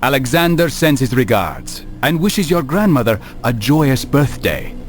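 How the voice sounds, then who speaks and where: An actor playing a calm, determined assassin in a video game, speaking in terse, measured lines. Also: male voice, medium pitch, over music. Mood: calm, purposeful. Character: calm, determined young assassin questioning allies. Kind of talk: scripted game cutscene dialogue.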